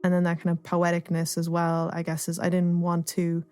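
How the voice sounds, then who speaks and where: A woman's voice: fairly low pitch, monotone, moderate pace, a little mellow, clear, resonant tone, faint music behind. A woman speaking thoughtfully and tentatively as an artist explaining their work in a reflective interview. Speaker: artist and playwright being interviewed.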